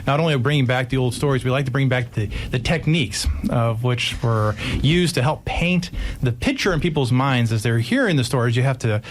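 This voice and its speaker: Chatty man with a mid-pitched voice. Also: a little fast, a little hiss or hum. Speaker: radio show sound-effects performer, interview guest. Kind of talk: casual interview explanation.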